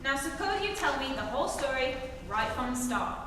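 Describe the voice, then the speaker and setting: An actress playing a brusque, impatient police lieutenant interrogating in an old-time radio comedy. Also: female voice, high-pitched, expressive, brisk, bright, forceful tone. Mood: exasperated. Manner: barking, impatient. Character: brusque, exasperated police lieutenant grilling a suspect. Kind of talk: 1940s radio comedy acting on stage. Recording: echoey hall, distant mic, faint noise.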